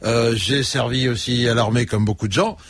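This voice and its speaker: Older guy on a radio panel, husky, keeps saying euh, gets blunt. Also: medium pitch, steady tone, moderate pace. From Italian descent, raised in France. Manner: emphatic, hesitant with many fillers. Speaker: panelist, former police commissioner. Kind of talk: radio debate. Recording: radio studio broadcast.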